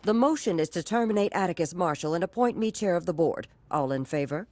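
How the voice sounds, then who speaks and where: A woman acting a low, mellow, shrewd executive. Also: lively intonation, close to an everyday voice. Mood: cold, businesslike. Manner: measured, folksy turns of phrase. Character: cool, shrewd woman corporate executive, folksy and threatening. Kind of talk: video game cutscene acting.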